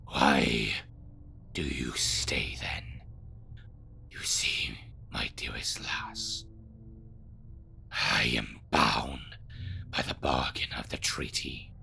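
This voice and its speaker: An actor playing a bitter, courtly schemer in an audio drama, speaking theatrically in a gravelly half-whisper with formal, archaic wording. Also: extremely low pitch, very slow, long pauses, bright, forceful tone, full character voice. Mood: mock courteous. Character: bitter, lamenting, courtly schemer bound by a bargain. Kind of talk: dramatic scripted monologue.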